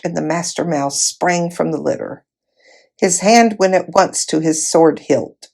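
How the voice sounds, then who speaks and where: A woman reading a children's novel aloud as expressive narration. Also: lowish voice, gravelly. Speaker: volunteer storybook reader.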